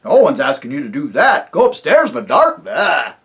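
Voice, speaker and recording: A reader voicing a boisterous invisible male chieftain who talks loud and rough with rustic grammar. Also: medium pitch, wildly swooping pitch, moderate pace, heavily acted. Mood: blustering.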